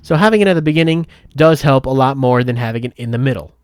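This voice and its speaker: A man narrating a software tutorial step by step in a methodical, explanatory way. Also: mid-range voice, lively intonation, quick pace, clear, resonant tone, no room sound, clean studio sound. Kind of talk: step-by-step instructional voice-over.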